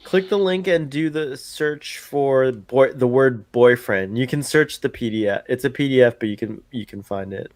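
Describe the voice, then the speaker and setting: A man hosting a live stream, chatting casually in an excitable, rambling way and repeating himself. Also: mid-range voice, normal speed, few pauses, no room sound. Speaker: stream host.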